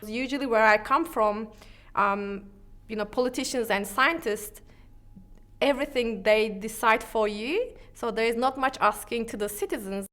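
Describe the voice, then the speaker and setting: A woman's voice: on the high side, moderate pace, a bit bright. A woman, speaking candidly with many fillers in a documentary interview. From Turkey. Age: 30s. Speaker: citizen juror.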